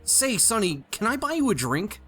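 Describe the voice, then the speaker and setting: A man's voice: sits high, very animated, normal speed, fairly bright tone, husky, soft background music, exaggerated voice. A man voicing a gushing, nerdy, chubby pony stallion fan in an audio play, talkative and opinionated. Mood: friendly. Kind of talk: audio play character acting.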